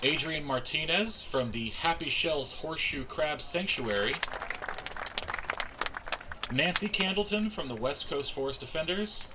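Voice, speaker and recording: An actor playing a genial, folksy middle-aged man who emcees a community event in a measured public-speaking manner. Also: fairly high pitch, lively intonation, over a noisy crowd, very noisy. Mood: genial, welcoming. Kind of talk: public welcome speech.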